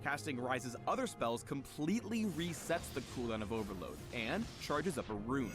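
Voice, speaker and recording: Male spotlight narrator, animated delivery, playful wording, clear music bed underneath. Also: mid-range voice, normal speed. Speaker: narrator of a game champion spotlight. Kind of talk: scripted game guide narration.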